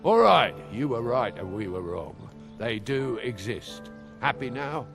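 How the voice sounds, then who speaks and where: An actor playing a wary, grumbling, practical adventurer in a video game cutscene. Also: male voice, mid-range voice, very animated, measured pace, vocal fry, over music. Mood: sardonic.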